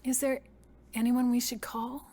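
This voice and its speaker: A woman's voice: a little high, little pitch movement, measured pace, mellow. An actress playing a protective, soothing middle-aged mother in a screen drama. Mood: concerned. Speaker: supporting actress. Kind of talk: naturalistic screen drama acting.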